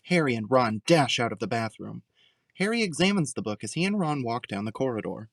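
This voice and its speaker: A male narrator reads scripted audio drama scenes at a medium pitch. The sound is dry, with no room around the voice. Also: big pitch swings, fairly quick, dry recording.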